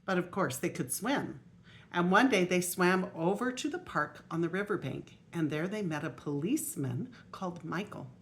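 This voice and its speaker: An older woman, a grandmother, reading a children's picture book aloud in a deliberate way with emphasized story words. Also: on the low side, expressive. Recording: faint noise on homemade video.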